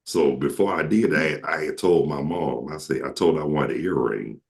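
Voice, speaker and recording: A man with a deep, carrying voice telling casual, joking stories to friends as a talk show host, slangy and quoting people as he goes. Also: moderate pace. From southern United States. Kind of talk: casual comic storytelling with friends.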